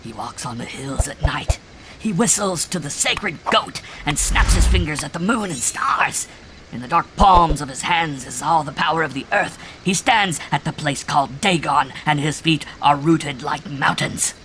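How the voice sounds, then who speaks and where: A man voicing a heavy middle-aged farmer in a cult, a fanatic who shouts and rants in a strained, raucous voice. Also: mid-range voice, normal speed, vocal fry, background noise, close to an everyday voice. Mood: ecstatic. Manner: shouting fanatic, later sly and cold. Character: raving heavy male farmer cult follower.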